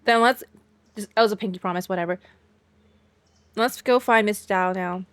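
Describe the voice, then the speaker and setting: A woman's voice: medium pitch, normal speed, a bit bright, clear, resonant tone, no room sound. Woman streamer, casual and sarcastic. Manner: sarcastic, blunt asides. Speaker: streamer reacting to a game. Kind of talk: casual reaction commentary, reading dialogue aloud.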